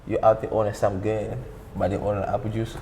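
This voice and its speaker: Very fast, low-voiced young man. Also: flat delivery, vocal fry, crowd noise. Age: early 20s. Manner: slangy, firm, repeats himself. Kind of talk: casual debate among friends.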